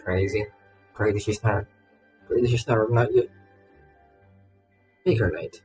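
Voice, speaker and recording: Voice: medium pitch, fairly even intonation, a little slow, a little mellow, soft background music. A streamer giving casual game commentary, playful and exclamatory, rambling and repeating words.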